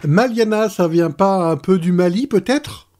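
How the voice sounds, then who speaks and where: Guy hosting a radio interview, casual, pretty high voice but soft and mellow. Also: unhurried.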